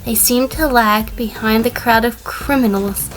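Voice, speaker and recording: A woman's voice: fairly high pitch, steady tone, unhurried, soft background music, close to an everyday voice, poor audio. A woman playing an evasive, defensive sheep on the witness stand in a fan courtroom audio drama, explaining carefully.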